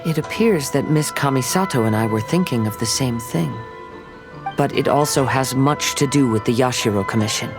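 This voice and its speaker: A young wandering samurai played by a man talks in a gentle, reserved, mid-pitched voice. His delivery is formal and reflective, video game dialogue over clear background music. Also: normal speed, lightly acted.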